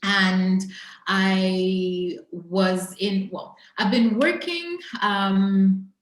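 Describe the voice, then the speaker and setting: A woman with a Zambian accent telling her personal story as an interview guest, in a reflective, halting way with pauses mid-thought. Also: medium pitch. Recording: roomy, echoing sound.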